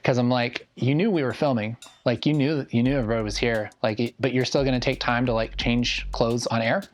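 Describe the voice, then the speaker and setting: A man's voice: mid-range voice, fairly even intonation, a little fast. A man in his thirties, a video show host, talking casually about a shoot in an indoor studio. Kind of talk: casual behind-the-scenes chat.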